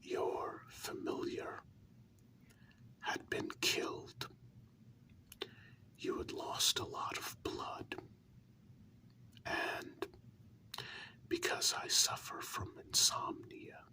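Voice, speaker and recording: A performer whispering slowly and breathily in an ASMR role-play, playing a gentle, candid human confiding in a vampire partner. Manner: slow, hushed, intimate, with pauses.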